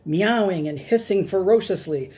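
A man's voice: high voice, normal speed, warm tone. A middle-aged man reading a children's picture book aloud in a dramatic storytelling style. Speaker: storytime reader. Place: small room at home, indoors.

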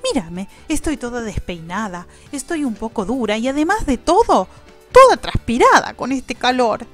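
A playful, dramatic storybook mother as a woman narrator acts her with an Argentine accent. She is emphatic and animated, swooping in pitch. Faint music plays behind. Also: normal speed. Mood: persuasive.

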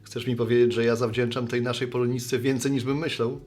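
A man's voice: mid-range voice, level tone, a little fast, darkish tone, faint music behind, close to an everyday voice. An actor playing a good-natured, sheepish middle-aged man, a postman and bar regular, talking in a folksy, rambling way in a radio play. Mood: musing.

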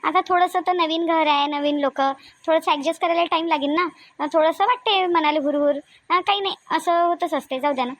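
Woman doing a high voiced village family lady for a cartoon, vidarbha marathi accent, talks fast, expressive and homely. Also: no room sound. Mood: shy, uneasy. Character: women of a village family: shy bride, chatty sisters-in-law, scolding aunt. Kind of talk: homemade cartoon family drama voice acting.

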